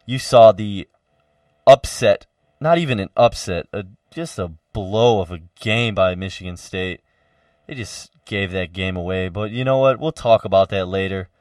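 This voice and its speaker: Brief: young male sports radio host, energetic and loud, full of fillers, very dry studio sound. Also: lowish voice, moderate pace, no echo. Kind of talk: casual college radio sports talk.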